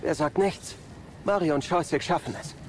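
A man's voice: a little high, moderate pace, darkish tone, slight background noise, lightly acted. A man playing an earnest, hopeful young Jedi in a German audio drama. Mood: optimistic.

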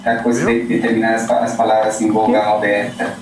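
A man's voice: lowish voice, monotone, brisk. Colombian man, echoey and distant. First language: Spanish. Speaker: guest. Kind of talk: casual podcast chat.

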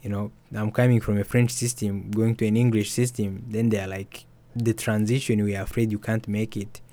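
A young man, an author and musician, telling his story softly and hesitantly in an interview. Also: fairly low pitch, flat delivery, brisk. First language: Swahili. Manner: soft-spoken, hesitant with restarts and fillers. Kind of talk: reflective personal storytelling.

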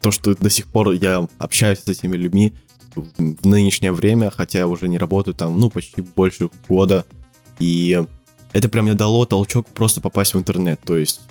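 A man's voice: low voice, steady tone, brisk, a little mellow, faint music behind. A teenage man from Siberia, a podcast guest talking casually, rambling with lots of fillers and restarts and laughing often. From Siberia, Russia. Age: teens.

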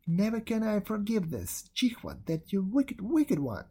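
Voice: mid-range voice, wildly swooping pitch, unhurried, mellow, slightly rough, voice pushed up, exaggerated voice. A reader doing a shrewd, solemn holy man. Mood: scolding. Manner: solemn, old-fashioned speech.

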